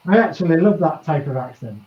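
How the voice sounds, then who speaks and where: A man's voice: a little high, lively intonation, quick pace, roomy sound, muffled recording. A man from East Yorkshire with a Yorkshire accent, hosting a casual group chat and rambling, with small chuckles between thoughts. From East Yorkshire, England. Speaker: host of a model-building chat.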